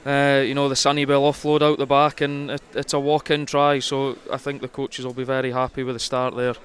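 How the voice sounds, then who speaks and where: Male radio co-commentator, very even intonation, chatty match analysis with halting, explanatory phrasing. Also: medium pitch, clean tone. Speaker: rugby co-commentator. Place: commentary position at a rugby ground.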